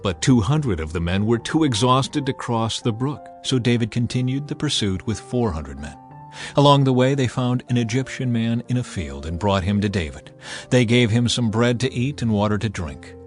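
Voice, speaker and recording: A man narrating scripture in a measured, even reading. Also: on the low side.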